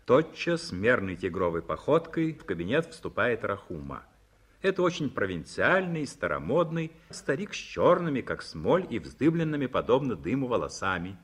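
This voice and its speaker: A man's voice: medium pitch, big pitch swings, moderate pace, husky. A man narrating a radio play, reading stage directions as scripted narration.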